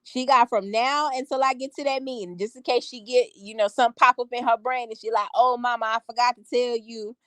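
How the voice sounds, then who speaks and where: Woman vlogger in her 30s, strong confident delivery, a bit higher than most, dry close sound. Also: fairly even intonation, brisk, hardly any pauses, fairly bright tone, dry recording. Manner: emphatic, sassy, repeats phrases for effect. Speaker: vlogger talking to live viewers. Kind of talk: casual storytelling chat.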